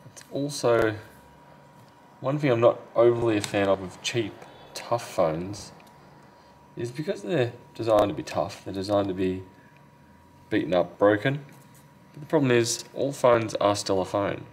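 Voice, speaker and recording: Low-pitched man muttering through hands-on work. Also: lively intonation, a little slow. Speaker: phone repair technician and video host. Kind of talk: casual hands-on repair commentary. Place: indoor repair workbench. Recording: clear background noise.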